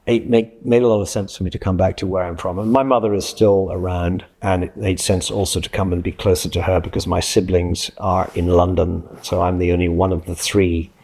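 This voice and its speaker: A man of about sixty from Scotland, giving reflective interview answers in a measured, explanatory way, often correcting himself. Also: deep voice, little pitch movement, vocal fry, a little mellow. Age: late 50s to early 60s. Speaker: interviewee, journalist and freelance writer.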